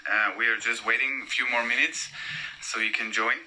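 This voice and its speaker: A man's voice: mid-range voice, steady tone, moderate pace, sharp tone, rough recording. A man hosting a live-stream talk with an art collector, speaking hesitantly with frequent fillers over a video call. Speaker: host of collector talks.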